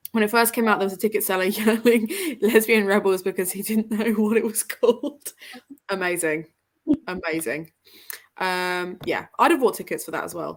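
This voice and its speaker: Self-deprecating woman chatting on a livestream. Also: fairly low pitch. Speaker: host of a book livestream with guests. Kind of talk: casual livestream chat, reading out comments.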